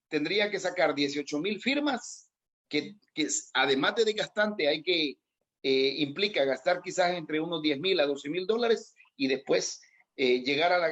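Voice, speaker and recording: A middle-aged man with a Salvadoran accent and a fairly high-pitched voice. He answers as a guest in a political interview, haltingly, often doubling back on his words as he explains. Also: fairly bright tone, dull audio. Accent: Salvadoran Spanish. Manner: hesitant, halting delivery with repeated words. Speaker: interview guest, aspiring legislative candidate.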